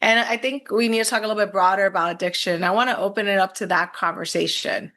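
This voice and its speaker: A woman hosting an interview podcast, encouraging and a little halting as she frames her questions. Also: mid-range voice, fairly even intonation, sharp tone. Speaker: podcast host.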